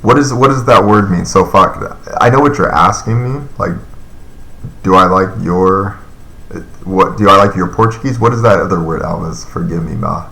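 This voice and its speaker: A man's voice: deep voice, normal speed, creaky, bright, forceful tone, reverberant, rough recording. A man in his thirties chatting casually on a live stream, reading and answering viewer comments in a loose, rambling way with lots of fillers. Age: 30s.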